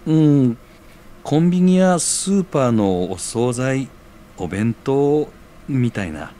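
An actor playing a mild, good-natured, slightly bashful bachelor in a scripted audio drama. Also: male voice, medium pitch, animated, slow pace, warm tone. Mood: sheepish.

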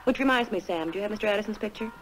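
A woman's voice: mid-range voice, very fast, over music, lightly acted. A woman playing a confident young private detective in a 1960s television drama. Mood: determined. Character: confident young female private detective undercover as cigarette girl.

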